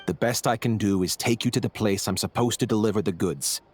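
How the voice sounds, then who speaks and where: A lowish, uneasy go-between voiced by a man. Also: brisk, a little mellow, dry recording, lightly acted. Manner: explains at length, hesitant and a little uneasy. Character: nervous male go-between turned double agent. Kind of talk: video game voice acting. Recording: game audio with faint music underneath.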